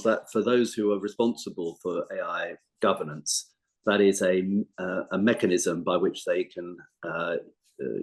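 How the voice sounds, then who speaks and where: A man with a fairly low voice moderates an online panel. He is courteous and formal, feeling his way through his questions with hesitant phrasing. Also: darkish tone.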